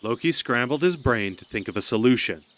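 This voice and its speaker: A man's voice: mid-range voice, lively intonation, brisk, a bit bright. A man narrating a scripted story in a dramatic storytelling style. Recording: amateur recording with background noise.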